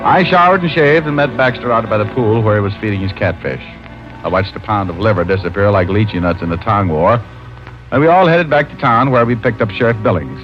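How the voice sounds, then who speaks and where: A man's voice: lowish voice, lively intonation, brisk, muffled recording, lightly acted. A man playing a wisecracking, world-weary New York private detective in 1940s radio style, with dry sardonic quips. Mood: wry narrating. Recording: band-limited old radio recording with music.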